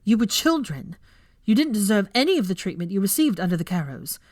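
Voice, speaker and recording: A woman's voice: medium pitch, big pitch swings, fairly quick, no room sound, clean studio sound, pitched above the performer's own voice. A woman voicing a furious young female professor, scolding a class sharply and indignantly in a storybook reading. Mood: angry, wounded. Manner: sharp, indignant, scathing lecturing. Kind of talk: dramatic fan fiction character reading.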